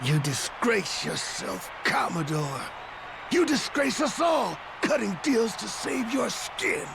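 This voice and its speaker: An actor playing a furious, imperious official in a video game, declaiming slowly and sternly over music. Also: male voice, sits high, big pitch swings, vocal fry, very noisy, exaggerated voice. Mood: furious, condemning. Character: furious, imperious official condemning a disgraced commodore. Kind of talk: dramatic video game acting. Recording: loud music bed behind voice.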